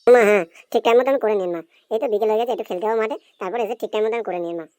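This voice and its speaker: A woman's voice: mid-range voice, racing, mellow, dry recording, close to an everyday voice. A woman voicing a carefree young schoolboy in an amateur cartoon, with a casual, repetitive way of talking. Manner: casual, repetitive, brushing off advice. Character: carefree procrastinating young schoolboy, little brother.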